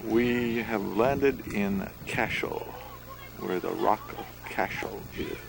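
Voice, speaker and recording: A man's voice: fairly low pitch, slow, vocal fry, heavy background noise. A man narrating a travel vlog in a wry, chatty way. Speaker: travel vlogger.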